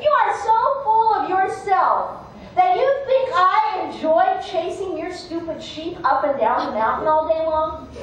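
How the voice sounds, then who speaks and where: Woman voicing an indignant, high-pitched, resentful sheepdog. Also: lo-fi sound. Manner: sarcastic, indignant. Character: resentful, scornful, put-upon sheepdog. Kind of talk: live folktale storytelling. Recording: echoey room, distant mic, faint noise.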